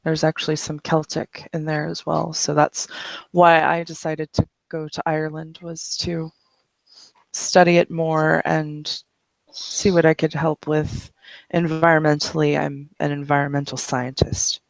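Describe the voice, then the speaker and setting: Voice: mid-range voice, completely flat delivery, measured pace, creaky, no echo, poor audio. Narrator playing a composed woman scientist. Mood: friendly.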